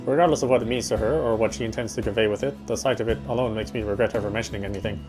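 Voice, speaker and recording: Young male romantic lead actor, visual novel: introspective, tender, self-deprecating, brisk delivery over guitar music. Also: fairly low pitch, lightly acted. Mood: hesitant, resigned. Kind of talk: first-person visual novel narration and dialogue.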